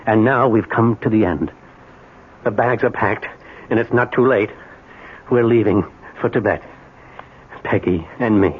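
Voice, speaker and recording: Brooding, desperate husband man acting, halting and slow, vintage radio-play delivery through narrow, hissy sound. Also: fairly low pitch, close to an everyday voice. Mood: fed up, brooding. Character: tormented, delusional middle-aged husband, desperate and brooding. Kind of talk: 1970s radio drama acting.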